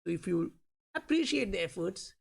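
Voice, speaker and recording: A woman's voice: fairly low pitch, very animated, moderate pace, creaky. A woman with an Indian accent who is not a native English speaker, hosting a channel and explaining price charts with frequent fillers and restarts. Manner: explanatory, many fillers like "you know" and "uh". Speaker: crypto chart analyst, channel host. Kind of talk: talking through price charts in a vlog.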